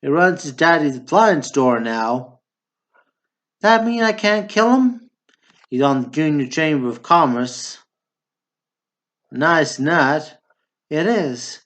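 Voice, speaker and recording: A man acting in a stage play as one of two blunt, bantering Texan brothers, one a drunk war veteran. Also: on the high side. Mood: bitter, griping.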